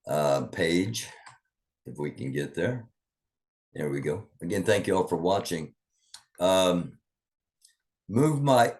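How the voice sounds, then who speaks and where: Man, low voice, news commentary host, casual rambling, lots of ums. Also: a little mellow. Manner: rambling, thinking aloud with many fillers.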